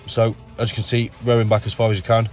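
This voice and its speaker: A man with a nasal voice from a cold, explaining his gym workout in a relaxed voice-over full of ums. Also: on the low side, fast talker. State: has a cold. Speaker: bodybuilder narrating his workout. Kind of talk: casual voice-over commentary.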